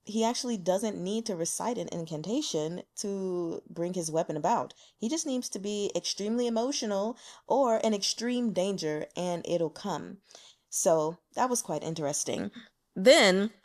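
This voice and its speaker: Casual, animated woman chatting about books. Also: medium pitch. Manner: animated, slangy, giggly asides. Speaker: book reviewer hosting a reading vlog. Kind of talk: casual chatty book commentary with notes.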